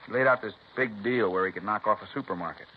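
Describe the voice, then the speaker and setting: An actor playing a defensive, streetwise ex-con with a blunt, slangy manner, in 1950s radio drama style. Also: male voice, fairly low pitch, quick pace, close to an everyday voice. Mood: wary, explaining. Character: defensive, streetwise male ex-con, wary but talkative. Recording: muffled, noisy 1950s radio recording.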